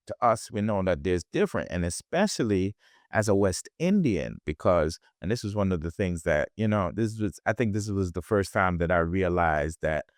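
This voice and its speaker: A man's voice: sits low, no room sound, clean studio sound. A man from Jamaica, a guest on a podcast, talking in a reflective way with frequent restarts. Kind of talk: conversational interview.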